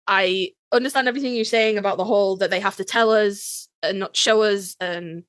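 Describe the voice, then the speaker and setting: A woman co-hosting a podcast, chatting casually as a fan in an animated, emphatic, joking way with plenty of swearing. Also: medium pitch, a little fast, no echo. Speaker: podcast co-host. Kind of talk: casual fan chat about a TV series.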